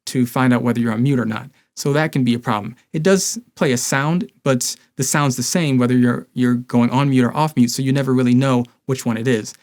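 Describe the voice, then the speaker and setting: A man's voice: medium pitch, a little fast, hardly any pauses, a little mellow. A man in his thirties presenting a scripted explainer to camera as a review host. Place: small indoor home studio.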